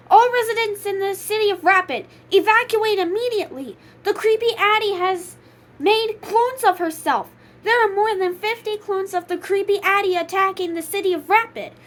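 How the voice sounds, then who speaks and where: A woman's voice: very high voice, a bit bright. A woman reading a scripted emergency broadcast as a formal announcer.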